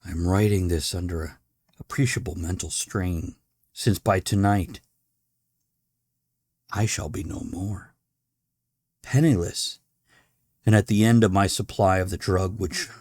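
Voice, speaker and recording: A man voicing a despairing, morphine-addicted sailor in a horror audiobook, grave and formal, halting for emphasis. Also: deep voice, darkish tone, no room sound, clean studio sound. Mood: grim despair. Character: despairing morphine-addicted sailor writing his final horrified confession.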